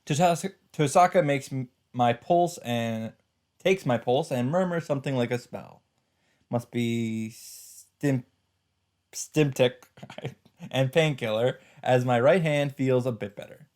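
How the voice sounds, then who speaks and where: Guy doing a let's play, reading story text out loud, kinda rambly, stumbles and corrects himself. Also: medium pitch, takes it slowly. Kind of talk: casual game commentary and read-aloud visual novel narration.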